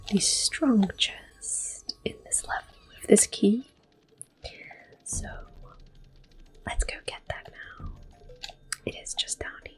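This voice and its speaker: A woman giving soft-spoken, often whispered ASMR commentary on a game, gentle and breathy. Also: medium pitch, rough recording. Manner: gentle, unhurried. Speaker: ASMR gameplay host.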